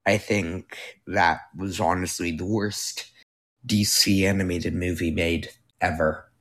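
A man's voice: low-pitched, fairly even intonation, slow pace, fairly bright tone. A young man hosting a casual solo podcast, rambling with fillers and self-corrections while losing his voice. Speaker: podcast host. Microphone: new microphone being tested.

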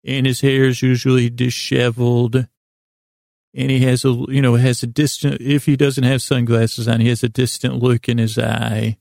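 A man hosting a podcast with a meandering, halting bedtime monologue, often trailing off mid-thought in a gravelly voice. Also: mid-range voice, completely flat delivery, a little mellow, no echo, studio quality. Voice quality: very creaky, gravelly voice. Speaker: podcast host. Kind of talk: rambling bedtime storytelling monologue.

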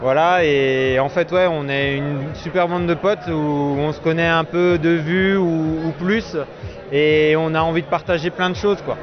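A man who makes wine, answering interview questions in a relaxed, rambling way outdoors at a festival. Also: fairly high pitch, unhurried, muffled recording, a crowd in the background. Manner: relaxed, rambling, many fillers. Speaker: winemaker.

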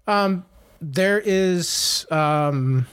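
A man's voice: fairly high pitch, extremely slow, a bit bright, smooth. A man in his late thirties co-hosting a casual podcast, rambling with lots of fillers and restarts. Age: 30s. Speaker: podcast co-host.

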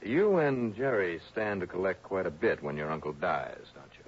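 A man's voice: deep voice, animated, normal speed, a little hiss or hum. An actor playing a hard-boiled male insurance investigator who narrates his own case in a dry, wry, clipped way, in a 1960s radio drama. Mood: skeptical. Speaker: narrator and lead. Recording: dull lo-fi 1960s radio broadcast.

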